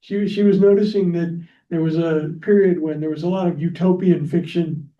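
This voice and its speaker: A man moderating a library panel, speaking off the cuff in a halting way. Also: on the high side, fairly even intonation. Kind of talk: unscripted remarks at a library panel. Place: echoing library event room. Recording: roomy, muffled, distant microphone.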